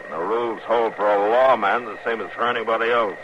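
An actor playing a stern, steady middle-aged marshal of the Old West in a 1950s radio western, American, laconic and matter-of-fact. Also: male voice, lowish voice, fast, close to an everyday voice. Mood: calm, firm. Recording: muffled, noisy 1950s radio recording.

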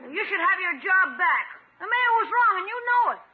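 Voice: very high-pitched, sing-song, a little fast. A performer playing a loyal, eager young nephew in a 1950s radio comedy, with earnest, slangy boyish talk. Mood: indignant, loyal. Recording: narrow-band, hissy 1950s radio recording.

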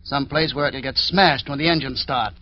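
A man's voice: mid-range voice, normal speed, natural voice. A man acting a tough, menacing gangster henchman in an old radio drama, sneering and impatient. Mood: bullying, impatient. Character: hard, menacing gangster henchman bullying an underling. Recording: dull, noisy old radio recording.

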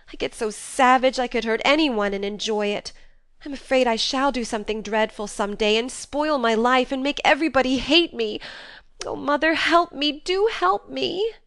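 A woman's voice: high voice, a bit bright, smooth, dry recording, voice pushed up. An impassioned fifteen-year-old girl from a classic storybook, acted by a woman reader. She speaks earnestly and pleadingly in a raised, clean voice. The sound is close and dry. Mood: anguished. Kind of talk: acted audiobook dialogue from a classic novel.

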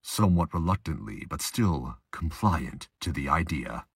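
A man's voice: rumbling low, expressive, measured pace, vocal fry, breathy edge, no room sound, studio quality. A man reading scripted dramatic narration for a fan-made audio drama.

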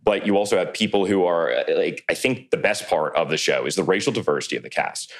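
A man in his late twenties with a very deep voice, speaking as a guest on a casual podcast. He tells his stories in an easygoing, rambling way, with plenty of "like" and "you know". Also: fast, creaky. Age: 20s. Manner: relaxed storytelling, frequent "like" and "you know". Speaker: podcast guest, reality show contestant.

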